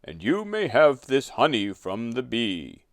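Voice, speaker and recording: A man's voice: mid-range voice, wildly swooping pitch, unhurried, no echo, studio quality. A man voicing a big, blunt brown bear calling out in a storybook fable. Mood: demanding.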